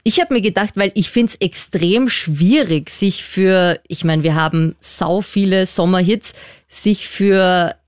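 A young woman co-hosting a casual podcast chat, talking in a lively, colloquial way and laughing often. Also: mid-range voice, a little slow, no echo, studio quality. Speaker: co-host.

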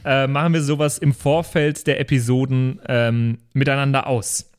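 A man's voice: medium pitch, flat delivery, moderate pace, smooth. A man hosting a podcast in casual, informal chat with bits of English slang. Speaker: podcast host.

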